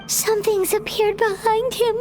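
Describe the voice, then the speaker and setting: A woman voicing a tiny, excitable, childlike fairy companion in a video game, squeaky and exclamatory. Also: moderate pace, roomy sound, over music. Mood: alarmed. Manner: squeaky, exclamatory, speaks in third person.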